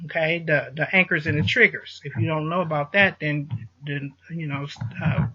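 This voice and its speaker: A man's voice: on the high side, fairly even intonation, moderate pace, a little hiss or hum. A man giving an informal, emphatic explanatory monologue to listeners, repeating his points and often checking in, with some distortion on the voice. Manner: emphatic, repetitive, punctuates points with check-in words. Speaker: host of an online advice video. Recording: dull lo-fi recording, voice distortion.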